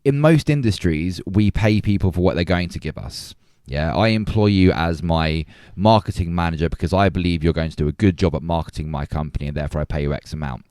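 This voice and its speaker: Low-pitched man debating films. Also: fairly quick, darkish tone, clear, resonant tone, no echo, studio quality. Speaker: podcast host. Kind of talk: opinionated film debate, conversational.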